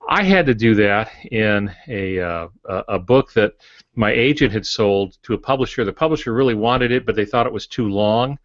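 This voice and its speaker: A man with a low voice shares stories as an author on a writing podcast. He speaks in a relaxed way, pausing often with filler sounds. The audio is dry but dull and a bit lo-fi. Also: no echo. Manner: relaxed storytelling, many "uh" pauses. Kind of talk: casual writing podcast chat.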